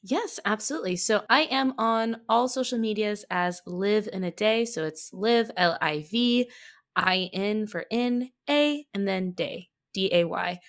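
A woman guesting on a podcast, chatting casually in a playful, expressive way. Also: mid-range voice, unhurried. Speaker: podcast guest, tabletop roleplay performer. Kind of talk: casual chat.

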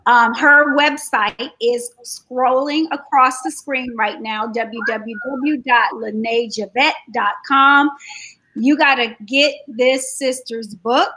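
High, bright-voiced woman host, unhurried. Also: steady tone, poor audio. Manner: enthusiastic, emphatic endorsements. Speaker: interview host. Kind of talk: promotional interview hosting.

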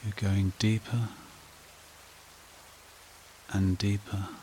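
A man leading a guided meditation and hypnosis in a hushed, soothing, repetitive way with long pauses. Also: on the low side, level tone, slight background noise.

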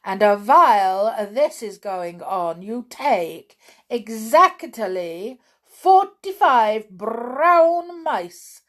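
Grand High Witch, read by a woman. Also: lively intonation. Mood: scheming. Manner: slow, emphatic, theatrical pronouncements. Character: commanding female witch leader, ruthless and vain. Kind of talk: audiobook character reading.

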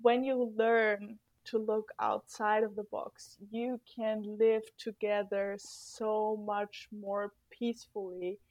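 Slow, earnest young Austrian woman. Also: medium pitch, level tone, no room sound, poor audio. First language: German. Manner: thoughtful, earnest, with soft laughs and fillers. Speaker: guest, former exchange student. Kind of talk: podcast interview answers.

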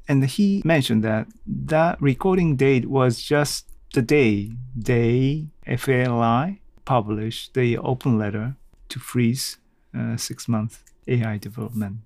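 A man talks casually and alone as a podcast host, taking his time over each phrase. His voice has a creaky, gravelly edge and is recorded dry, with very little room sound. He is hesitant, often searching for the next word. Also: mid-range voice, dry recording. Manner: halting, hesitant, searching for words. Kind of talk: casual solo audio blog.

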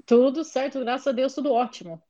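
A young English woman, a native English speaker, chatting in an interview as a lively storyteller. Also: high voice, brisk, lo-fi sound. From England. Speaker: interview guest. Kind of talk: casual conversation.

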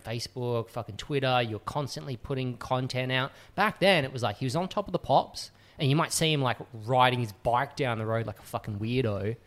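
A soft-voiced man with a medium pitch. He co-hosts a podcast in loose, casual conversation, speaking bluntly and swearing often. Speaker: podcast co-host. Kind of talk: casual banter chat.

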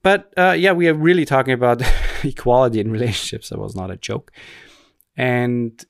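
A man's voice: medium pitch, normal speed, vocal fry, no room sound, clean studio sound. Rambling, joking male podcast co-host. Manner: rambling, self-mocking, joking. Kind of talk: casual chat.

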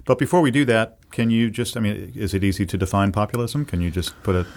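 A man's voice: on the low side, fast talker. Man hosting a podcast. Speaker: podcast host and interviewer. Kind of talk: scripted narration, then conversational interviewing.

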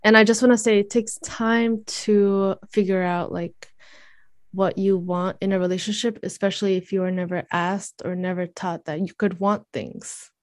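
A woman's voice: mid-range voice, fairly even intonation, normal speed, dry recording, studio quality. A woman co-hosting a podcast, talking in an earnest, personal way in a casual discussion.

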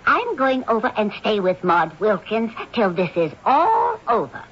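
A fretful housemate. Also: female voice, fairly high pitch, big pitch swings, a little slow, slightly rough, exaggerated voice. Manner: fretful and fussy. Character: nervous woman living in the haunted house. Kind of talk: 1970s radio drama acting. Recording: dull old radio broadcast with faint hiss.

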